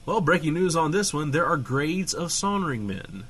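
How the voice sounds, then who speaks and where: A man playing a glib, excitable small-town radio host in scripted comedy banter. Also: a little high, moderate pace, slightly rough. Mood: explaining, flippant.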